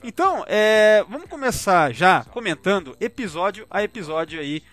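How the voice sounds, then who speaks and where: A Brazilian man with a high voice and very lively intonation hosts a casual podcast. He comments in a rambling, slangy, informal way. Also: moderate pace, soft background music. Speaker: podcast host.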